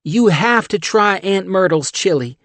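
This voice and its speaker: A man's voice: sits high, expressive, unhurried, fairly bright tone, dry recording, voice pushed up, heavily acted. A man voicing a brash, flirtatious woman. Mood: brash flirty. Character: brash flirty Southern woman, loud and bold.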